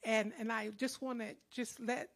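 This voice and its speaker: Older woman counselor, earnest, a touch nervous, slightly higher voice. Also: level tone, unhurried. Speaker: high school counselor. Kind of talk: personal sharing. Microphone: handheld mic.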